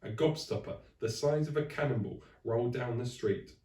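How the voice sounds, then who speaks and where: A man reading a children's story aloud in expressive narration, like a teacher to a class. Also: medium pitch, moderate pace, recorded from a distance. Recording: echoey room, away from the mic.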